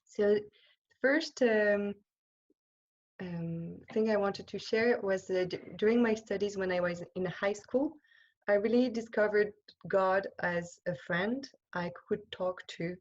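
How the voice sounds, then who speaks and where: A French-accented woman, a religious sister from France whose first language is French, giving an informal talk to an online group on a video call and often pausing to search for words. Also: medium pitch, highs cut off. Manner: hesitant, searching for words, many pauses and restarts. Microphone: computer microphone on a video call.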